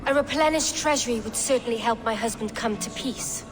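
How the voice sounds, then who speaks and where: A woman's voice: on the high side, brisk, natural voice. A woman plays a pragmatic queen in a historical game. She speaks formally and earnestly in a raised register, with music behind her. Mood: measured. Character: composed, pragmatic queen urging peace. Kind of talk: dramatic video game acting. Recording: long hall reverb, music underneath.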